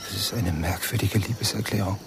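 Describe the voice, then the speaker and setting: A man's voice: on the low side, fairly quick, close to an everyday voice. An actor playing a reserved, middle-aged married man in a radio drama, bluntly honest and matter-of-fact. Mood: guarded, blunt. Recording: noisy ambience with insects.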